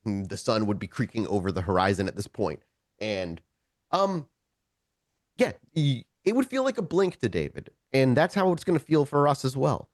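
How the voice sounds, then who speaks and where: A man working as storyteller of a tabletop game, narrating and improvising in a casual, halting, conversational way. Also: mid-range voice, big pitch swings, no room sound, studio quality.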